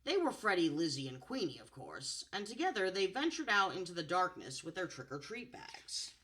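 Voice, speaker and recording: A woman with a low contralto voice reading a storybook and chatting afterwards about her voices, expressive and playful. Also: moderate pace, a little hiss or hum. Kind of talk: storybook narration and chat.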